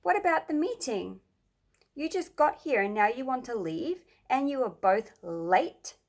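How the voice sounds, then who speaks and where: A woman's voice: high voice, very animated, a little slow, voice pushed up, heavily acted. A woman voicing a grumpy, officious schoolboy who leads a club in a children's storybook. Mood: huffy. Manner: huffy, bossy.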